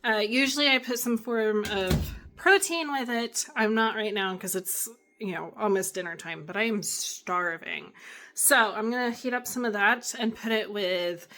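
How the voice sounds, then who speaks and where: A woman in her thirties chatting casually in a home vlog, her voice hoarse from cheering, rambling easily with fillers. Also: mid-range voice, normal speed, pushed and bright, a little hiss or hum. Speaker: vlogger, homeschooling mom. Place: at home, kitchen. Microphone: handheld camera.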